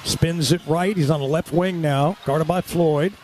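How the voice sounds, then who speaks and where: A male radio announcer calls live basketball in a high voice. His phrasing is clipped and urgent, and there is clear noise behind him as he works the game. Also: measured pace, darkish tone. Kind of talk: live basketball play-by-play commentary.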